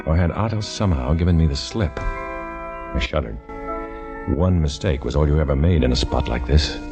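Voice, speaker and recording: A man's voice: a bass voice, lively intonation, moderate pace, music in the background. An actor playing a tough undercover agent who narrates his own story in a 1950s radio drama, with terse, hard-boiled delivery. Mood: grim narration. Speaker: narrator and lead.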